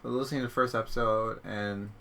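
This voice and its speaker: A man's voice: on the low side, fairly even intonation, fast talker, creaky, bright, forceful tone. A young adult man co-hosting a podcast, chatting casually and giving blunt opinions on audio dramas. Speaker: podcast co-host.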